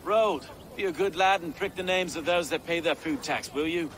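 An actor playing an earnest, courteous medieval English reeve in a video game, with old-fashioned polite phrasing. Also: male voice, on the high side, moderate pace, a bit bright, slight background noise, lightly acted. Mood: genial, brisk. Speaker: sheriff. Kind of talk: dramatic video game cutscene acting.

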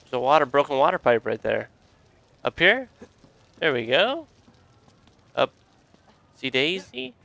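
A young man with a bright, forward voice narrates his gameplay in loose, excitable bursts. He jokes around and swears freely, reacting out loud to everything on screen as he plays. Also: mid-range voice, measured pace, gravelly, long pauses, no room sound. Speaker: let's play gamer. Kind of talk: casual gameplay commentary.

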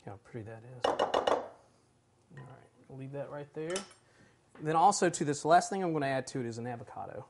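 A man in his forties runs a casual cooking demonstration in a roomy home kitchen, chatting warmly as he works. His voice sits at an ordinary middle pitch. A lapel mic catches faint background noise and the odd click of utensils. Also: animated, brisk, pauses often. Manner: chatty, enthusiastic, talks while working. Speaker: cooking show host.

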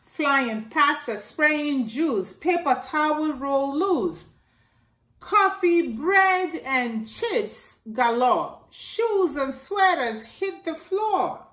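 A middle-aged woman reading a rhyming picture book aloud to children in a slow sing-song way, filmed indoors at home by a camera a few feet away. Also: high voice, lively intonation, fairly bright tone. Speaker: storytime reader. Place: living room by a staircase, indoors. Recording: echoey room.

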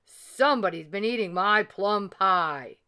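A woman's voice: medium pitch, lively intonation, measured pace, pushed and bright, clear, resonant tone. A woman voicing a great big father bear with an indignant growl, in a storybook telling.

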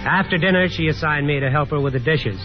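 An actor playing a wry, sardonic young American son who also narrates, in a dry manner, in a 1950s radio drama. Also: male voice, on the high side, fast talker, natural voice. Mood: wry narration. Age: young adult. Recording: muffled 1950s radio recording with music.

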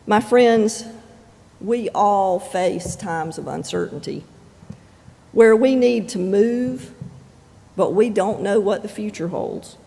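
A pastor preaching a sermon in measured, deliberate phrases with frequent pauses. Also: medium pitch, vocal fry, mellow. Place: church sanctuary. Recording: long reverb of a church sanctuary.

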